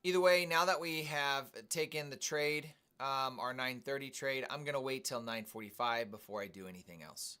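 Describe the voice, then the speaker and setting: A man hosting a live day trading stream, calmly commenting on his trades and greeting viewers in the chat. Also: mid-range voice, moderate pace, hardly any pauses, clean tone. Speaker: day trading livestream host.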